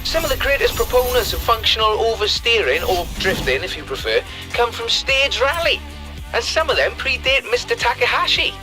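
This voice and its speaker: A high-pitched, animated male drift coach from a racing game. He lectures eagerly in polite, wordy, pedantic phrases. Loud music plays over his voice. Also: moderate pace. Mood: eager, instructive. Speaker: drifting instructor. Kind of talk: scripted video game dialogue. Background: loud radio music and car engines.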